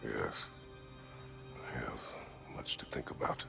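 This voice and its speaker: A man playing a brooding, guilt-laden warrior in a video game, gravelly and terse, speaking in grave, clipped phrases. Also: slow pace, close to an everyday voice. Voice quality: very deep, gravelly. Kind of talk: cinematic video game acting. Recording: music under the voice.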